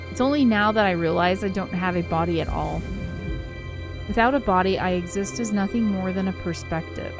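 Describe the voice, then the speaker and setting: A woman reading a visual novel aloud as a game co-host, expressive in the story and giggly in her casual asides. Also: on the low side. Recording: music playing behind the voice. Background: game soundtrack music.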